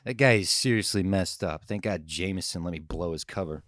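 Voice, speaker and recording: A man's voice: sits low, fairly quick, a bit bright, dry recording, studio quality. A man playing an earnest, somewhat cocky reporter in a dramatic table read, in an everyday way of talking. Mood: casual, cocky. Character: earnest, cocky male news reporter turned hero.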